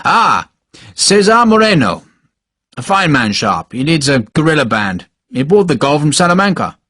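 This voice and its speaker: A man voicing a short, pious older cavalry major who speaks gruffly and brusquely in clipped commands. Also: a little high, big pitch swings, normal speed, a bit bright, no echo, studio quality, pitched above the performer's own voice. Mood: explaining. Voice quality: gruff, brusque, barking laugh. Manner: clipped commands, fervent and impatient. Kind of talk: audiobook character voice.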